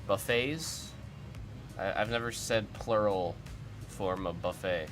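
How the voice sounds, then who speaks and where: A young man with a somewhat low voice hosts a livestream in a loose, chatty way. He rambles and puzzles aloud while answering viewers. Clear music plays under his talk. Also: slow pace. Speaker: livestream host of card breaks. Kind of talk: casual chat reacting to viewer comments.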